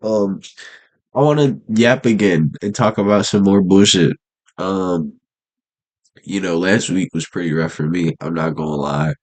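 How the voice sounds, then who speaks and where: A young man with an extremely deep voice and a stuffed-up, congested nose talks casually to his camera. His speech is loose and rambling, packed with slang and fillers, in an unscripted vlog monologue. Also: unhurried, a little mellow, low-quality recording. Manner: laid-back, rambling slang talk with many fillers. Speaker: vlogger. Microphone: camera mic.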